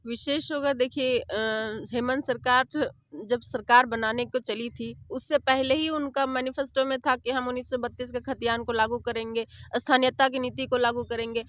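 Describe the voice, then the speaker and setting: A woman's voice: a little high, fairly even intonation, normal speed, fairly bright tone, dry recording. A woman with a Jharkhand Hindi accent, speaking as an activist in a political interview. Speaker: protest activist.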